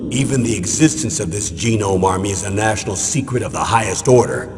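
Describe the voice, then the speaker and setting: An actor playing a stern, middle-aged military commander giving a measured, authoritative briefing in a video game. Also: male voice, on the low side, noisy background, lightly acted. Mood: serious, explanatory. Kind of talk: dramatic video game voice acting. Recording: long reverb, music behind. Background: music.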